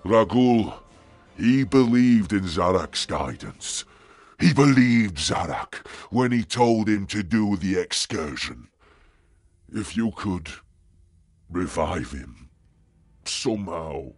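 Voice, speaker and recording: An actor playing a weary, grieving old lord in a fantasy video game, with a gravelly, husky voice and slow, imploring delivery. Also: male voice, low voice, expressive, no echo, exaggerated voice. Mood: pleading. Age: older. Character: weary old male lord grieving his slain son. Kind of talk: dramatic video game acting.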